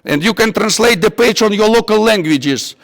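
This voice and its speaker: A man with a non-native English accent giving an informal technical workshop, halting and repeating himself as he searches for words. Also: sits high, expressive, gravelly. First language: not English. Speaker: workshop instructor. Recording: echoey hall with long reverb.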